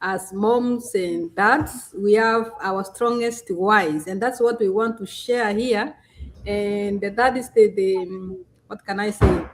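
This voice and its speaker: A woman's voice: mid-range voice, rough recording. Woman hosting a live stream. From Kenya. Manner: chatty, many fillers and restarts.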